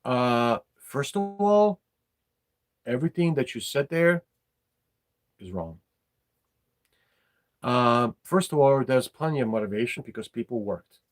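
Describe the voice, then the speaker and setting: A middle-aged man, talking casually and bluntly about his opinions, halting and repeating his words. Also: mid-range voice, expressive. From former Yugoslavia. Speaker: podcast host.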